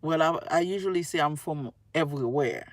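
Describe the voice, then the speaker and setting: A woman's voice: sits low, a little fast, no echo. A woman giving a casual, earnest, rambling monologue to her viewers, often repeating her phrases. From Haiti. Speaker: tarot reader and spiritual video creator.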